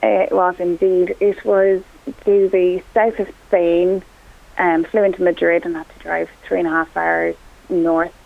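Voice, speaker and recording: A woman's voice: on the low side, little pitch movement, measured pace, gravelly. A woman from Kerry with an Irish accent, whose first language is Irish, answering interview questions modestly and plainly. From Ireland. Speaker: phone-in guest. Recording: tinny phone line.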